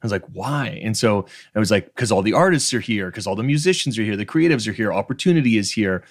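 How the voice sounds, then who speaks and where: Podcast host, man in his thirties, from the Maritimes, low voice, dry close studio mic, frank and emphatic. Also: lively intonation, fast talker, no echo. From Canada's Maritimes. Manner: frank and emphatic, swears freely. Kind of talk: casual interview chat. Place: home room with bookshelves, video call. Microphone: studio microphone on stand.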